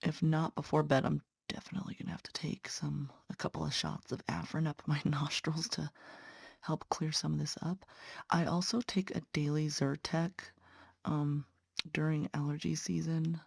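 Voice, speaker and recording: A woman's voice: low-pitched, little pitch movement, normal speed, gravelly, darkish tone, husky, no room sound, clean studio sound. A young woman, a patient care tech and nursing student, chatting earnestly to viewers while stitching, sounding congested. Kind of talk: casual chat while stitching.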